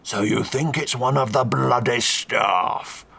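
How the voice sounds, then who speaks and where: A man voicing a crotchety, brutal old duke who swears constantly in a gruff way. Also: medium pitch, takes it slowly, bright, forceful tone, heavily acted. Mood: scornful.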